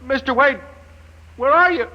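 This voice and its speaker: A man's voice: very high-pitched, normal speed, muffled recording. An actor playing a trapped middle-aged man, frightened and stammering, in a 1950s radio drama. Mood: bewildered, anxious. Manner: stammering, panicked. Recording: vintage radio recording with music.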